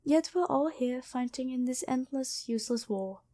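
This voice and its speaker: A woman playing a kind angel medic and former nun in an ASMR roleplay, speaking gently and hesitantly to the listener. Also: high voice, animated, moderate pace. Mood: patient, earnest. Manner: gentle, soft-spoken, apologetic, hesitant.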